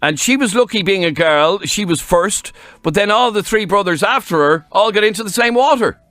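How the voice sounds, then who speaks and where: A man with a Dublin accent hosting a radio phone-in, bantering with callers in a lively way. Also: sits high, moderate pace, no room sound. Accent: Irish, Dublin.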